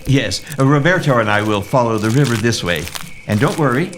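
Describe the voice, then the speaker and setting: A man playing a brave, earnest gentleman uncle in an audio drama, with a theatrical manner. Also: mid-range voice, lively intonation, a little fast, slight background noise, lightly acted. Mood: concerned, reassuring. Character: brave, reassuring English gentleman uncle searching for nephew.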